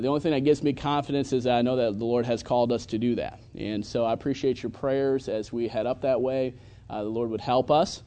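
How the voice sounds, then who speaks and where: Halting, earnest pastor in his thirties. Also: medium pitch, warm tone. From Michigan, USA. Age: 30s. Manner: earnest, halting, with many filler sounds. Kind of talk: church sermon and prayer.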